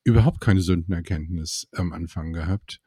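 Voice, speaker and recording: A man's voice: low-pitched, moderate pace, mellow, slightly rough, no echo, studio quality. A middle-aged man telling his faith story in a candid, wry, conversational way.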